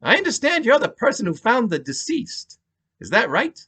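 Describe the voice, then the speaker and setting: A man reading a novel aloud, voicing a brisk, courteous police detective with a New York accent. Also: very high voice, huge pitch swings, pushed and bright, breathy edge, pitched above the performer's own voice, heavily acted. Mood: questioning. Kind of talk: audiobook-style dramatic reading.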